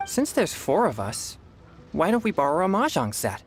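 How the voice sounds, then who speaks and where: Game role: loyal, easygoing youth, lowish voice, animated intonation, a touch flustered. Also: female voice, normal speed, faint music behind. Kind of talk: video game character dialogue.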